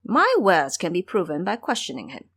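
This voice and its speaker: A woman voicing a mischievous, sharp-tongued male monkey disciple in an audio drama, taunting and bossy. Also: on the low side, sing-song, moderate pace, slightly rough, full character voice. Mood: teasing. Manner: taunting, scolding.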